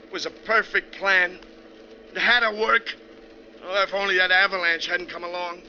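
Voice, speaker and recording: An actor in a 1940s radio drama playing a desperate, unhinged killer who poses as a bus driver, menacing and overwrought. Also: male voice, high-pitched, steady tone, moderate pace, pushed and bright, a little hiss or hum. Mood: frantic, desperate. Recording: muffled old 1940s radio recording.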